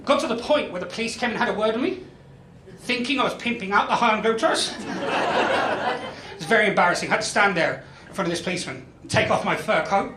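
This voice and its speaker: A young man in his twenties with a West Country accent doing a self-deprecating stand-up comedy set with deadpan punchlines. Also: sits high, fast talker, very noisy. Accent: West Country English. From Ireland, raised in Spain. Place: comedy club stage. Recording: echoey club with crowd laughter. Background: audience laughing.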